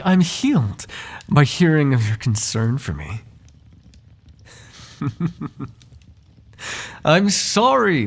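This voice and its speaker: Man acting an expressive, husky, flirtatious butler. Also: medium pitch. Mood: tender, flirtatious. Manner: formal, genteel wording with playful teasing. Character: devoted, flirtatious, gossip-loving male butler to a noble. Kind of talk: intimate ASMR roleplay acting.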